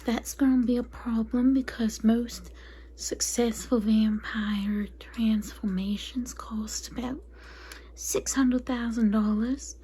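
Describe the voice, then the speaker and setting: A woman playing a chatty, catty female vampire consultant in a soft, breathy, confiding ASMR roleplay whisper. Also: fairly high pitch, fairly even intonation, slow pace, a little hiss or hum, low-quality recording. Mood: frank, businesslike. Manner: confiding, gossipy, apologetic asides.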